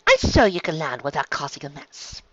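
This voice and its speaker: A man's voice: very high-pitched, wildly swooping pitch, normal speed, a bit bright, no echo, muffled recording, voice pushed up, heavily acted. A man voicing a prim, proud female pony envoy, refined and fussy, putting on authority in a story reading. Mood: assertive.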